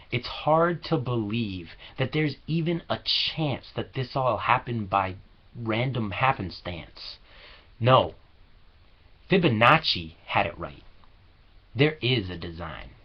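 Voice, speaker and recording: Expressive male slam poet, muffled recording. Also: husky. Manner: earnest, deliberate, dramatic phrasing. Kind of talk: slam poetry recitation. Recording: muffled, low-quality home recording.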